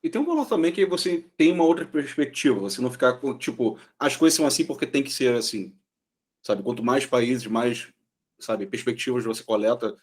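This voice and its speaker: A man's voice: medium pitch, lively intonation, brisk. A Brazilian man with a Brazilian Portuguese accent, co-hosting a podcast in casual chat. He is earnest and often closes a point with a checking question. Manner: earnest, ends points with "certo?".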